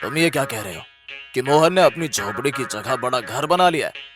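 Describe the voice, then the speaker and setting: A man's voice: medium pitch, animated, moderate pace, music in the background, pitched below the performer's own voice, natural voice. A man voicing a domineering, greedy rich landlord in a cartoon tale, with an imperious, threatening manner. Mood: menacing. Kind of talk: Hindi cartoon villain dialogue.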